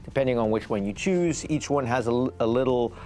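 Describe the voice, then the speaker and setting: A man in his forties chatting casually as a guest guitarist, halting and repeating words as he searches for phrasing. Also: mid-range voice, darkish tone. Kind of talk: casual gear demonstration chat. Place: small acoustically treated studio, indoors.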